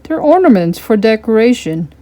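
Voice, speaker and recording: A woman voicing a kind, reassuring young man in a children's storybook, explaining things gently and patiently with some amusement. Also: a little high, very animated, normal speed. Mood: gentle. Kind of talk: children's storybook character reading.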